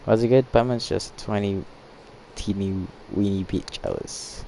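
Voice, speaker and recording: A man playing through a video game, reading dialogue aloud and commenting in a halting, offhand way. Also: lowish voice, gravelly, dark tone, slight background noise. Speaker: gaming streamer.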